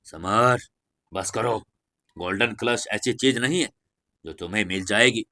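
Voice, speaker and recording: A man's voice: lowish voice, a little slow, pushed and bright, voice pushed down. A man voicing a cold, hostile sword sect master in a fantasy audio story, stern and commanding. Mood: cold warning.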